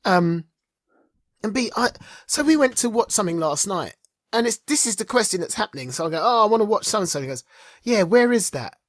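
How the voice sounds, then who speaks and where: Podcast co-host just chatting, opinionated and emphatic, medium pitch, really dry sound no room. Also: sing-song, a little fast, no echo. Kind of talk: casual chat about streaming and geek culture.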